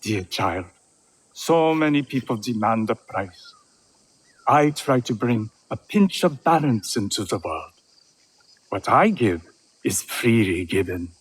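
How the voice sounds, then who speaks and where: A serene, elderly tea master from a historical video game. His voice is husky and unhurried, each phrase set down gently and deliberately. He speaks as a gracious mentor. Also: lowish voice, very animated, full character voice. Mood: serene, philosophical. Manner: measured, gentle, deliberate phrasing with soft chuckles. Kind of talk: video game cutscene acting.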